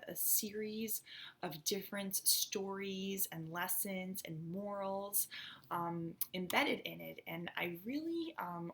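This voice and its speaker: A school principal, a woman born in the United States, talks in a relaxed, chatty way with many fillers as she introduces and reads a storybook aloud to children. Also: lowish voice, creaky.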